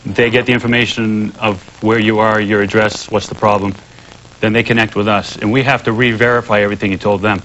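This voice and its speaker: A man's voice: fairly low pitch, flat delivery, a little fast, fairly bright tone. A man who takes emergency calls, explaining procedure conversationally as an interview guest. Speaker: 911 ambulance call-taker, guest. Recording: dull, faintly noisy old tape.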